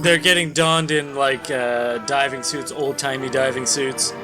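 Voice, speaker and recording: A man's voice: mid-range voice, fairly even intonation, a little slow, music in the background. A man hosting a podcast with casual, comedic commentary. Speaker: podcast host.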